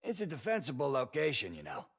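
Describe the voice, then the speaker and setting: A man's voice: mid-range voice, wildly swooping pitch, quick pace, creaky, breathy edge, exaggerated voice. An actor playing a cocky American mob henchman in a video game, trading streetwise, teasing banter. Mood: amused, teasing. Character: joking, cocky male mob henchman on guard.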